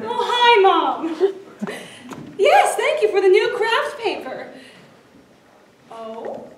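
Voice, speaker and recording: A woman's voice: extremely high pitch, huge pitch swings, very slow, pauses often, a crowd in the background, exaggerated voice. A young woman on a stage playing an anxious, loving sitcom housewife in a theatrical, emotive way. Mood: chatty, polite. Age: young adult. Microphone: far camera. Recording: echoey theater stage, distant camera microphone.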